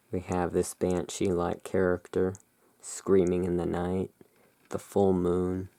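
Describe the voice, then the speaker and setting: A person giving a relaxed, musing ASMR commentary as a tarot reviewer, talking in an unhurried, unscripted way. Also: sits low, creaky. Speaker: tarot reviewer in a roleplay as emporium owner.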